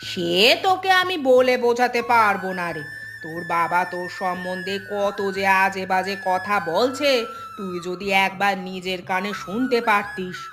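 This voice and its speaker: A woman's voice: mid-range voice, animated, normal speed, natural voice. A woman voicing a scheming, vengeful village gossip in a cartoon, with an insistent, dramatic manner and music behind. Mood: insistent, exasperated. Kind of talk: Bengali cartoon voice acting.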